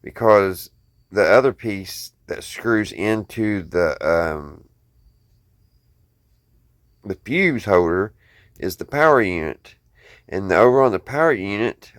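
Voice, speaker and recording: A man's voice: lowish voice, animated, takes it slowly, dry recording, clean studio sound. An American man talking casually to viewers in a folksy, rambling show-and-tell, thinking aloud as he goes. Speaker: hobbyist video host.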